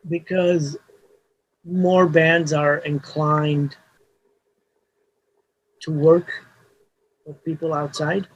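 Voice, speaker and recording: A man's voice: a little high, level tone, drawn-out words, long pauses, highs cut off. A man, a Hebrew speaker, talking casually as an interview guest, rambling with restarts and frequent "you know" fillers. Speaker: mastering engineer guest.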